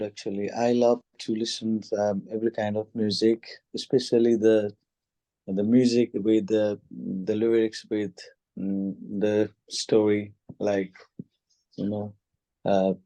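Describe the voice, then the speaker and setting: A man from Nepal answering speaking test questions, hesitant with many pauses and restarts. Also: on the low side, dark tone, dry recording, rough recording. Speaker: test candidate. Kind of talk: mock speaking exam answers.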